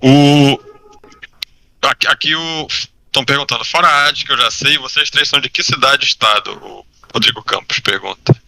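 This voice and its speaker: Very bright-voiced man from Brazil. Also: medium pitch, moderate pace, gravelly. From Belém, Pará, Brazil. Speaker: podcast co-host. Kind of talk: casual live chat, reading questions.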